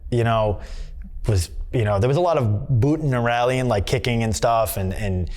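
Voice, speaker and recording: Rambling New boxer, filler-heavy. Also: male voice, medium pitch, normal speed, a little mellow. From Massachusetts. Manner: rambling, many fillers and restarts. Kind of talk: candid sit-down interview. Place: boxing gym.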